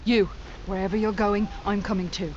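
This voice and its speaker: A woman's voice: mid-range voice, flat delivery, normal speed, lightly acted. A woman playing a blunt, stubborn commoner in a video game, pushy and colloquial in her talk. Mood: insistent. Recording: slightly dull, music behind.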